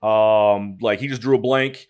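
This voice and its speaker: A man's voice: on the low side, steady tone, unhurried, darkish tone, clean tone. A man from Detroit co-hosting a casual talk show, rambling with many restarts and fillers. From Detroit, USA. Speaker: podcast co-host. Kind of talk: casual chat.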